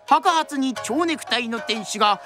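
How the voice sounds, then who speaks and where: A woman's voice: fairly high pitch, normal speed, over music. A woman narrating a drama from a script in a theatrical, traditional storyteller's style.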